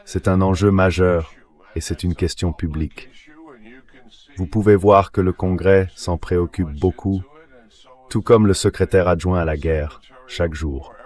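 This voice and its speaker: A man's voice: low-pitched, fairly even intonation, a little slow, darkish tone. A man's synthetic AI dubbing voice giving analytical military commentary as an interview guest.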